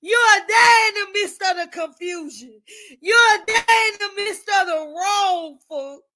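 A woman's voice: extremely high pitch, a little slow, bright, forceful tone. A mother leading an impassioned prayer, crying as she calls out to God after each phrase. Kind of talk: fervent spoken prayer.

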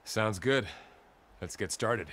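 An actor playing an earnest, steady, curious male warrior companion in a naturalistic game cutscene. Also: on the low side, slow pace, slight background noise. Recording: music behind dialogue. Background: music.

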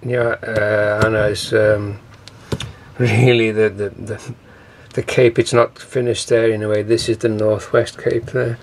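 A man's voice: on the low side, flat delivery, measured pace, creaky. A man narrating a casual video diary from his sailboat, hesitant and rambling, with many ums and uhs, recorded on a handheld camera. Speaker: solo sailor filming his voyage. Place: on a sailboat at sea and in harbour. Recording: noisy outdoor camera audio with wind. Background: wind and water noise.

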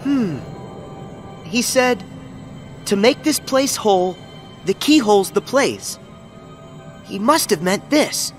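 A man's voice: high-pitched, very animated, slow pace, pauses often, heavily acted. A man playing a plucky, earnest teenage boy hero in a video game. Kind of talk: scripted video game acting. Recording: clear music behind.

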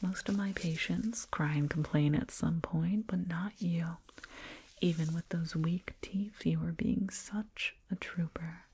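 Woman doing an alien dentist asmr, super breathy lowish whisper, soothing but a bit condescending, right up on the mic. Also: steady tone, a little mellow, exaggerated voice. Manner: slow, soothing, murmured repetitions. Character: condescending, soothing alien dentist, fussing over a little human. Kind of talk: ASMR roleplay. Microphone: close binaural microphone.

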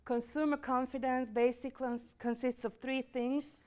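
A woman's voice: sits high, flat delivery, normal speed, darkish tone, smooth. A middle-aged woman with a Hungarian accent presents market research in a university lecture room, explaining with frequent fillers.

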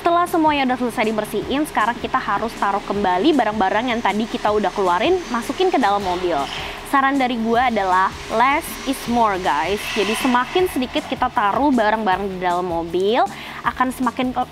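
A woman's voice: on the high side, expressive, brisk. Chatty young woman with Jakarta slang. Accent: Jakarta Indonesian. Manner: chatty, slangy, frequent "eh" fillers. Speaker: car channel presenter. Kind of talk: casual how-to presenting to camera. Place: outdoors by a car in a residential driveway. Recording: music playing behind the voice.

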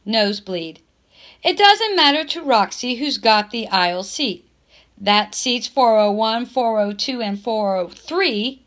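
Female read-aloud narrator, slightly high voice, slow deliberate delivery, expressive emphasis. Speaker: teacher reading a book aloud.

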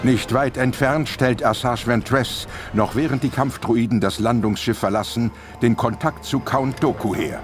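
A man's voice: medium pitch, moderate pace, over music. A man narrating a scripted audio drama as the storyteller.

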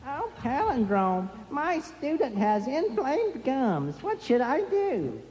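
A man doing a gushing falsetto townswoman. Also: huge pitch swings, slow, darkish tone, in a large hall, exaggerated voice. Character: swooning high-pitched townswoman.

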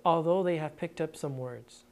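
A woman reads scripted documentary narration in an even, measured way. Her voice sits a little low for a woman. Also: a little hiss or hum.

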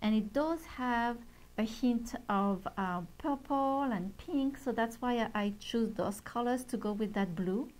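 Soft-spoken woman explaining beading steps. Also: fairly high pitch, a little slow, poor audio. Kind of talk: casual show-and-tell and beading tutorial. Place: bright studio set at a table.

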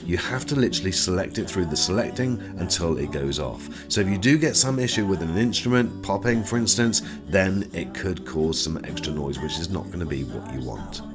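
A man's voice: deep voice, lively intonation, brisk, few pauses, music in the background. A man giving a conversational, explanatory product review as a voice-over. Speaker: tech reviewer.

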